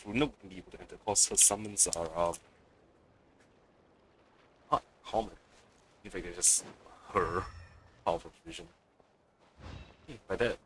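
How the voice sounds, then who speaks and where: A man's voice: low voice, a bit bright, a little hiss or hum, rough recording. A man giving casual let's play commentary as he streams a game. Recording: streamer mic over game music. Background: game music.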